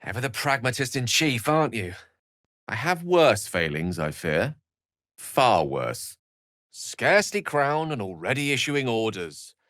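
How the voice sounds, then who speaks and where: A man's voice: medium pitch, big pitch swings, measured pace, a bit bright, dry recording, clean studio sound. An actor voicing an eloquent, wry, bookish male wizard companion in a video game, with a theatrical, erudite delivery. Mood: wry, measured. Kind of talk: video game character voice acting.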